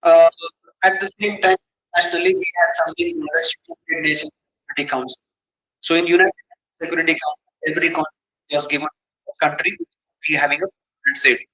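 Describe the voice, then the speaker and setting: A man's voice: on the high side, steady tone, quick pace, long pauses, sharp tone, poor audio. A man with an Indian English accent teaching an exam coaching class in a didactic way, repeating key terms and asking rhetorical questions. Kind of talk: classroom lecture.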